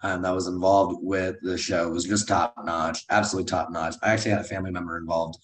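Low, slightly hoarse American man, roomy sound. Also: little pitch movement, fast talker. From United States. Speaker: co-host of a film and TV review show. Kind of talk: upbeat podcast chat. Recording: roomy echo.

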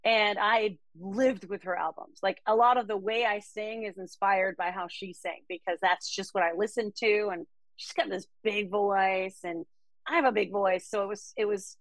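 A woman's voice: mid-range voice, steady tone, moderate pace, dry recording, poor audio. A woman from Denmark, a country singer-songwriter, chatting enthusiastically about artists she has opened for in a casual interview.

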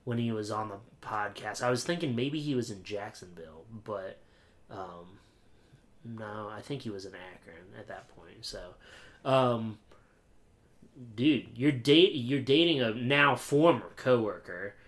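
A man's voice: lowish voice, lively intonation, measured pace. A young American man from Pennsylvania hosting a podcast in an informal, laid-back chat. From Pennsylvania, United States. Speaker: podcast host. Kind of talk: casual chat.

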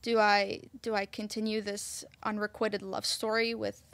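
A woman from Egypt co-hosting a casual talk show, speaking warmly but hesitantly, often restarting her sentences. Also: medium pitch, little pitch movement, normal speed, gravelly, dry recording. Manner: warm, hesitant, self-interrupting. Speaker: co-host. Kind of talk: casual chat.

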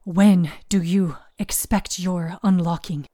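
A woman performs an old storykeeper for an audio drama, worn down by grief. The voice is a hoarse, breathy near-whisper with a soft, mellow tone. Words come slowly and haltingly, heavy with weariness. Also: lowish voice, steady tone, no room sound, studio quality. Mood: weary. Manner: slow, halting, hushed. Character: weary, grieving old female storykeeper.

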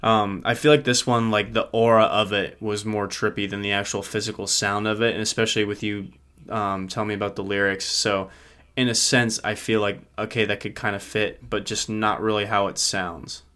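Young male co-host with a low, scratchy-throated voice; loose, rambling, profane chat. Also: level tone, normal speed, a bit bright, clean tone. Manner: rambling, swears casually, many fillers. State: sore throat, feeling sick. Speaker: podcast co-host. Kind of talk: casual music review chat.

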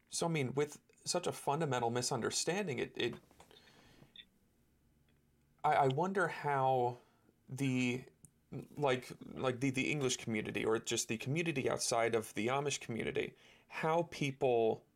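A male interviewer with a gravelly, creaky voice at an ordinary pitch for a man. He asks his questions haltingly and restarts them, often pausing to find his words. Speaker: podcast host, interviewer. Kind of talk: conversational interview.

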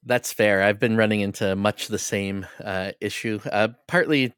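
A man co-hosting a gaming podcast, talking casually in a measured, thoughtful way. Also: on the low side, fairly even intonation, a bit bright, clean tone, no room sound, studio quality. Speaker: podcast co-host.

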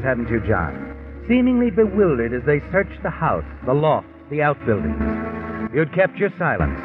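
An actor playing an ominous all-knowing mystery narrator who taunts in a menacing way, in 1950s radio style. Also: male voice, on the high side. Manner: menacing, taunting, addresses the character directly. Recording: lo-fi old radio broadcast. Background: dramatic music.

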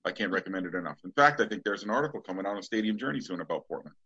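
A man's voice: deep voice, animated, racing, pushed and bright, husky. A middle-aged man from Massachusetts hosting a podcast in a rambling, enthusiastic, casual way. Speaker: podcast host. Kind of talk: casual chat. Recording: dull, lo-fi audio.

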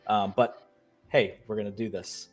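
A man hosting a tabletop role-playing show, getting over a cold and sounding a bit nasal, giving a recap in a steady storytelling voice with frequent fillers. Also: on the low side, measured pace, breathy edge, soft background music. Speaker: game master and host. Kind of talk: show intro and recap narration.

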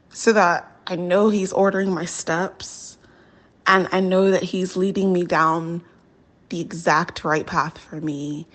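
A young adult woman calmly reading a prepared list of things she is grateful for. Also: medium pitch. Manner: calm, deliberate. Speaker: guest sharing gratitude.

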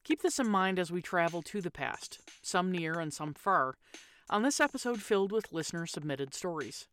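A woman's voice: fairly low pitch, animated, normal speed, a bit bright. A woman hosting a podcast, reading scripted narration for a spooky storytelling show. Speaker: podcast host. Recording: music playing under the voice. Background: music.

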